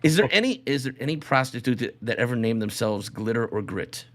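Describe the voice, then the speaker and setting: A man's voice: medium pitch, expressive, brisk, a bit bright. Man hosting a casual comedy podcast. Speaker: show host.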